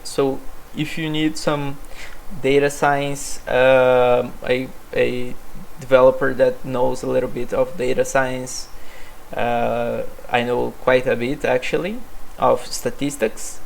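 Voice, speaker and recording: A man's voice: medium pitch, flat delivery, takes it slowly, vocal fry, a little hiss or hum, low-quality recording. A young man from Brazil, a native Portuguese speaker, presenting his developer background in English with many fillers and restarts. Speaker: software developer. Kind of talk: self-presentation monologue.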